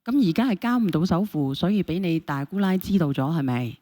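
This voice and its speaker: A woman hosts a call-in show. Her voice sits a bit low and she talks quickly, pressing with question after question as she mediates. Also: lively intonation, warm tone. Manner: questioning, persistent. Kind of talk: mediating a caller dispute, casual talk.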